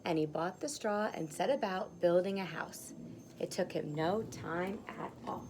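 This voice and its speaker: A woman's voice: on the low side, measured pace. Female kindergarten teacher, simple explanatory read-aloud for small children, porch setting with light ambient noise. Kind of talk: read-aloud of a picture book for young children. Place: outdoors on a porch.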